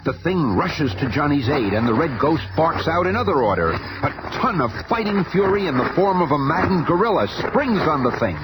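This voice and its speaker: A man's voice: on the high side, sing-song, normal speed, very noisy. A man narrating a 1970s superhero radio serial as a dramatic comic-book storyteller. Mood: suspenseful. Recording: loud music bed, old radio show.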